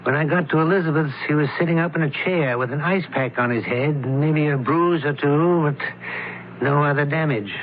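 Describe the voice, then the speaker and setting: An actor playing a shrewd, methodical male criminologist, deliberate and authoritative, in 1970s radio drama style. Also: fairly high pitch, natural voice. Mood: musing. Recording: noisy, narrowband old radio broadcast.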